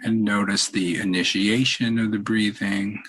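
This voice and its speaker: Slow, husky, low-voiced man guiding meditation. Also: poor audio. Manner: calm, unhurried, halting with long pauses. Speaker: meditation leader, podcast host. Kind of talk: guided meditation, softly spoken instruction.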